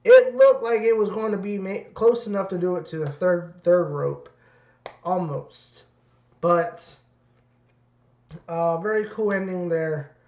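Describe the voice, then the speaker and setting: A man reviewing wrestling in a casual, rambling way, his voice raspy and worn. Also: high-pitched, a little slow, long pauses, darkish tone. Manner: rambling, many fillers and restarts. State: raspy voice from two nights of events. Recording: dull roomy lo-fi audio.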